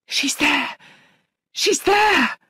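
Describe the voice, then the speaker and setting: A woman's voice: medium pitch, expressive, a little slow, no room sound, studio quality, pitched above the performer's own voice. A woman voicing an anguished young governess in a storybook reading, with intense, emphatic delivery. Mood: frantic. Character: anguished young governess, desperate, insistent, haunted. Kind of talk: dramatic audiobook character acting.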